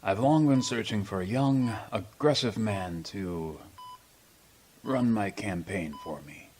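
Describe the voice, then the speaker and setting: A man playing an ailing, proud old politician in a radio drama, speaking in formal, pompous, measured phrases. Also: fairly low pitch, darkish tone. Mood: scheming, determined.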